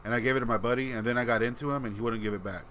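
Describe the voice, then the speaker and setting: A man's voice: mid-range voice, fairly even intonation, racing, a bit bright, a little hiss or hum, rough recording. A man hosting a casual livestream, reading and answering chat comments in a laid-back, rambling way, with a voice people call soothing. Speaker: channel host reading live chat.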